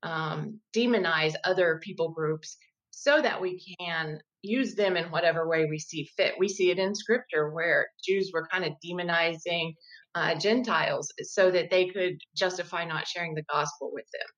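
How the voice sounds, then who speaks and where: A woman in her fifties speaks as an interview guest, her voice sitting a little low. She explains things in a measured, thoughtful way and sometimes restarts a sentence while she thinks. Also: fairly bright tone, muffled recording. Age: 50s. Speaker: guest, diversity educator. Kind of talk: conversational interview.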